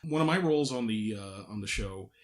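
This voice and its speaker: Halting, casual man hosting a podcast. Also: lowish voice, expressive. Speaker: podcast host, audio drama producer. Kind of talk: casual behind-the-scenes podcast chat.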